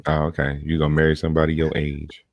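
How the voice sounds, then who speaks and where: A man's voice: very deep voice, monotone, quick pace, no room sound, muffled recording. A man about forty-one joining a casual group chat with laid-back, teasing banter. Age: 40s. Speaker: guest.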